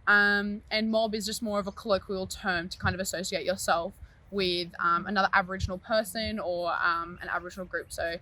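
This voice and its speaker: A young Australian woman answering interview questions in a measured, patient way. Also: medium pitch, steady tone, pushed and bright. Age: young adult.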